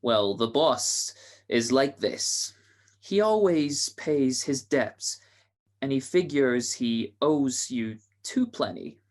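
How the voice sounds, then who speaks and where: A man's voice: mid-range voice, animated, slow pace. A menacing henchman acted out by a man in a 1940s-style amateur radio drama. He takes his time over each line, with sardonic, casual threat. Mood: veiled menace.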